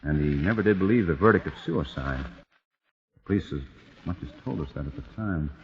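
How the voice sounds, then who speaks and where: A wry, wary husband. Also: male voice, low voice, expressive, moderate pace. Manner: dry, wry, doubtful. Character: wary, skeptical married man. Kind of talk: 1940s radio acting. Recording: muffled old radio broadcast with hiss.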